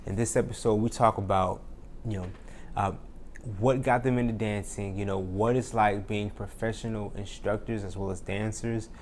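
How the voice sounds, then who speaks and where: A man's voice: fairly low pitch, steady tone, normal speed. A man introducing a podcast episode in an enthusiastic, informal, casual way. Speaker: podcast host. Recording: clear background ambient noise.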